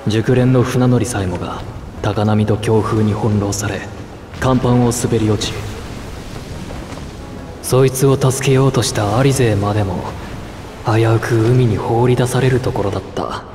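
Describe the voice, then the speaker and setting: An actor voicing a calm, laconic male adventurer who narrates past events in a matter-of-fact way. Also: fairly low pitch, measured pace, reverberant, big-room sound, over music, lightly acted. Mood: reflective. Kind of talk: reflective game narration.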